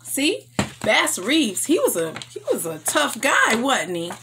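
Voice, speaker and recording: A woman hosting a story time, reading aloud for children in an enthusiastic, expressive and emphatic way. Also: on the high side, slow, noisy background.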